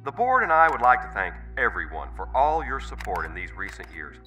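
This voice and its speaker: Corporate CEO: earnest, grandiose speechmaker, animated bright voice heard from a distance. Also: male voice, lowish voice, a little fast, faint music behind, exaggerated voice. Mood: earnest, rousing. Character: earnest, grandiose male corporate chief executive. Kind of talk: public speech in radio drama.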